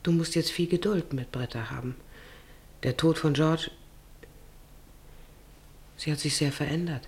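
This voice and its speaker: A woman's voice: low voice, measured pace, darkish tone. An actress playing an anxious, careworn middle-aged farmer's wife and mother, speaking hesitantly and earnestly in a German radio play. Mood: worried.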